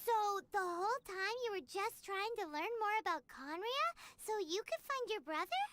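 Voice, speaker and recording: A woman voicing a tiny, chirpy, curious fairy guide with a squeaky childlike voice in an anime-style video game. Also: animated, moderate pace, noisy background. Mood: inquisitive. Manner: refers to self in third person, exclamatory.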